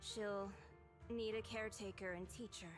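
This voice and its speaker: An actress playing a resolute, self-sacrificing female retainer in a dramatic video game, speaking in a solemn, measured way over music. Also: medium pitch, steady tone, natural voice.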